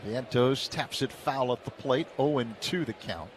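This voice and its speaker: A man calling live baseball play-by-play on radio, brisk and steady pitch by pitch. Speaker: play-by-play announcer. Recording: noisy radio broadcast.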